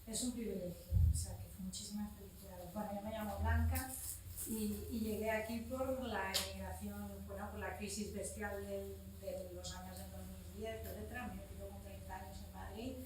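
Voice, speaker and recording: A woman's voice: mid-range voice, steady tone, moderate pace, background noise. A Spanish woman in her forties who talks frankly and swears casually, speaking up from the audience at a public event. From Spain. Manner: blunt and frank, swears casually, many restarts. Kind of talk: impassioned spontaneous public comment. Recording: echoey room, from far off.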